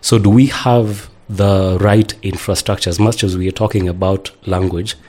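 A man hosts a podcast interview. His voice is very low, and his questions come calm and measured. He backs up his guest often with short words of agreement. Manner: affirming, calm questioner with many backchannels. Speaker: host.

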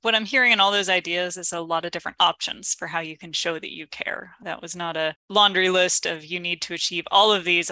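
A woman with a clearly bright voice, recorded very dry and close. She co-hosts an educational podcast, speaking in a reflective, supportive and articulate way, as if talking shop with fellow teachers. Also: on the low side, dry recording. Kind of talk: conversational teaching-tips discussion.

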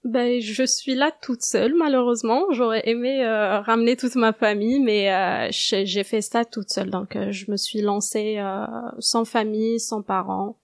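A woman's voice: a little high, fairly even intonation, moderate pace, hardly any pauses, no room sound, clean studio sound. A woman of twenty-seven, answering questions conversationally as a podcast guest. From Algeria. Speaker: podcast guest, international student.

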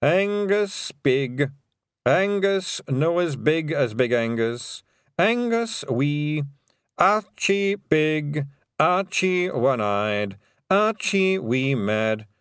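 A man voicing a pompous male lawyer who reads out charges in a slow, formal way. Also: a little high, animated, clear, resonant tone, dry recording, studio quality, pitched above the performer's own voice. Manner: measured, formal.

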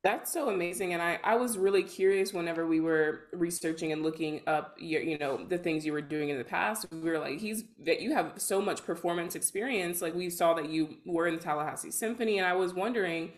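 A young woman with a distinctly low-pitched voice co-hosts an interview. She talks casually and conversationally as she puts her questions to a guest. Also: fairly quick, few pauses. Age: young adult. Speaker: podcast co-host, student.

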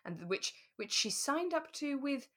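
A young British woman co-hosting a podcast in relaxed, reflective, conversational chat. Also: high voice, normal speed. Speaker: podcast co-host. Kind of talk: relaxed chat reviewing a radio drama.

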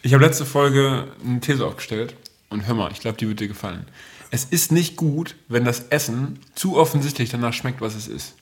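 A man's voice: mid-range voice, normal speed. A man hosting a casual food podcast, joking along in colloquial, playful banter. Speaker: podcast host.

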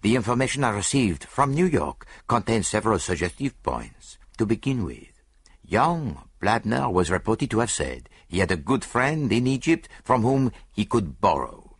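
Man acting dapper foreign detective, placid, self-satisfied, theatrical, very animated delivery, clean dry sound. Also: on the low side, breathy edge, no echo, voice pushed up, full character voice. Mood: grave, explanatory. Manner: precise, deliberate, theatrical.

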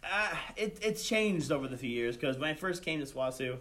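A man's voice: a little high, animated, moderate pace, a bit bright. A young adult man talking casually as an interview guest, often restarting his phrases in a hesitant way. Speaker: student director and voice actor, interview guest.

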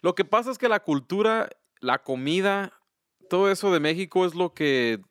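A Mexican-American man with a slightly high voice hosts a casual podcast. He swears freely and flips between English and Spanish mid-sentence. The recording is dry and close. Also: animated, normal speed, clear, resonant tone, no echo. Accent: Mexican-American, mixing English and Spanish. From United States. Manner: loose and profane, switching languages mid-sentence. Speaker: podcast host.

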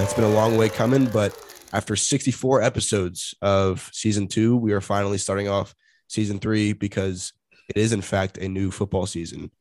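A congested man co-hosts a casual sports podcast. His voice sits low and barely moves in pitch. The sound is dry, with no room reflections. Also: no echo. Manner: monotonous tone. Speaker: podcast co-host.

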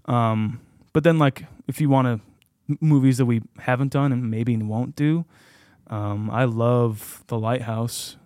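Male podcast guest, mid-pitched, informal and joking conversational delivery, dry recording. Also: measured pace, darkish tone, no echo. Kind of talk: casual podcast chat about films.

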